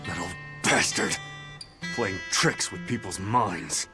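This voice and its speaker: An actor playing a brash male spirit and brawler in a game, talking in a rough, swaggering way. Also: deep voice, takes it slowly, over music.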